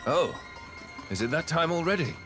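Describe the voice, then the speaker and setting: Man playing an animated, earnest noble hero. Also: fairly high pitch, moderate pace, music in the background, natural voice. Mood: impressed, friendly. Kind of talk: video game cutscene acting.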